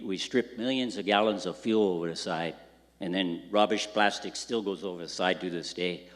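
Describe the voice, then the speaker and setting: Blunt older man, low voice in a hall. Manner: blunt, rambling, bitter. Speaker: public commenter. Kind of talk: public meeting testimony. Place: public meeting hall. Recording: public hall with long reverb.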